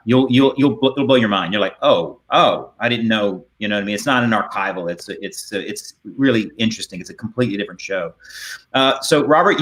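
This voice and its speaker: A man hosting a casual interview over a video call, halting and rambling as he repeats words. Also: medium pitch, a bit bright. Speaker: host and theater director. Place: indoor room on a video call.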